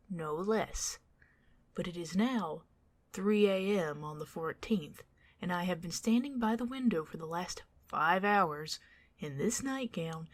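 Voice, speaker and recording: A woman voicing a doting, wry, centuries-old female vampire in a whispered ASMR roleplay, breathy and formal in her old-fashioned phrasing. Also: lowish voice, darkish tone. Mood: scolding, worried.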